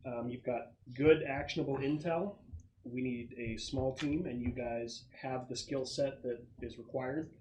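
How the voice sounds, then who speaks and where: A man's voice: mid-range voice, a little slow, darkish tone. Man running a tabletop game, noisy recording. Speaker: game master. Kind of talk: casual tabletop game narration. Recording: noisy room recording with clicks.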